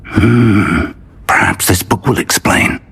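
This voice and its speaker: An actor playing a kindly, scholarly older wizard professor, in scripted fantasy game dialogue. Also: male voice, fairly low pitch, slow pace, vocal fry, fairly bright tone, slightly rough, full character voice. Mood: pensive, concerned.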